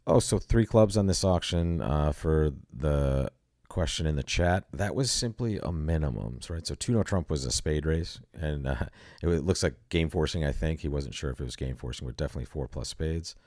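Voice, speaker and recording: Brief: deep-voiced male host, teasing and chatty, close dry sound. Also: lively intonation, normal speed, a little mellow, no echo. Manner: joking, chatty, self-deprecating. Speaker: bridge commentary host. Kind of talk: casual live commentary.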